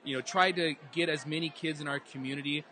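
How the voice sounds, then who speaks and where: Earnest man with a bright voice. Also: fairly high pitch, fairly even intonation, fast, a little hiss or hum. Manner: earnest, storytelling. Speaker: guest, dance group organizer. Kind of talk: casual interview answers. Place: live event hall.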